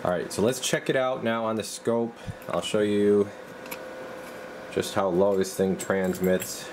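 A man in a radio workshop, talking casually and haltingly while he tests equipment. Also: on the low side, background noise. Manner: relaxed, halting, thinks aloud while working. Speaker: radio repair technician. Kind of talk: casual hands-on demo.

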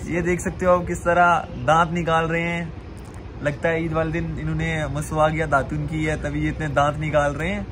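A young man with a fairly high voice chats to camera like a vlogger. He is casual and teasing. Clear background noise runs behind his phone recording. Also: completely flat delivery, a little fast. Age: 20s. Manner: playful, teasing. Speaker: vlog host. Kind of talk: casual vlog chat to camera. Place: restaurant room and outside it by a road. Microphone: phone at arm's length. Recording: noisy phone audio with background ambience.

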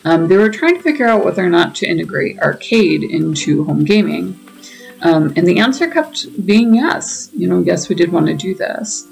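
Woman, heavy fry, chatty gaming commentary, thinking aloud, soft game music behind. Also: on the low side, big pitch swings, moderate pace, rough recording. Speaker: game streamer. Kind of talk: casual playthrough commentary.

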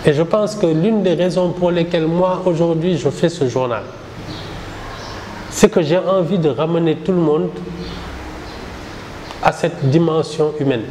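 A middle-aged man from Senegal, the founder of a satirical newspaper, answering interview questions in a measured, reflective way while gesturing a lot. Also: on the high side, dark tone, slight background noise. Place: small indoor room with poster backdrop. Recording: roomy with reverb and faint ambience.

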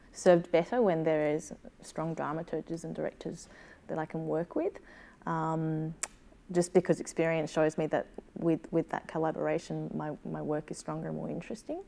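Low-voiced, hesitant Australian woman. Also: level tone, normal speed, creaky, darkish tone. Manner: hesitant, thoughtful, self-deprecating. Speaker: playwright being interviewed. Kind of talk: casual interview chat. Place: small room, seated indoors.

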